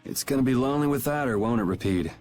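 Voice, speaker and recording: An actor playing a laid-back, sardonic young rebel in a video game, speaking casually and dryly. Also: male voice, mid-range voice, animated, fast, darkish tone, faint music behind, close to an everyday voice. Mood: wry, casual. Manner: casual, wry, dry.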